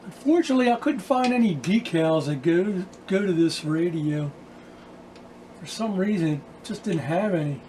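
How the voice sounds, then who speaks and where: Halting man, higher-pitched, over loud noise. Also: expressive. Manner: halting, pausing mid-sentence. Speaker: vlogger repairing vintage radios. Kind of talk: casual hands-on repair walkthrough.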